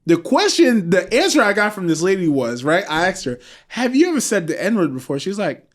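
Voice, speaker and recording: A man with an American accent co-hosts a casual podcast, a little tipsy. His pitch sits a touch high and swings widely as he tells animated stories and laughs through them. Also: fairly quick. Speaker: podcast co-host. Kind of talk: casual chat.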